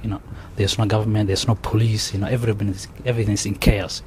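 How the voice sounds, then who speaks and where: A young man with a Somali accent, telling his story in an interview, halting and full of restarts and fillers. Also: fairly low pitch, flat delivery, crowd noise. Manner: halting, many restarts and "you know" fillers. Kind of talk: oral history interview. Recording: faint background noise.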